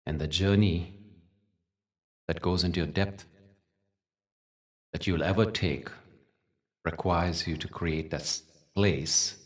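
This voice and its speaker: A man leading a guided meditation as a teacher, speaking gently in short phrases with long pauses. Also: sits low, far from the mic. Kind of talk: guided meditation with spiritual talk.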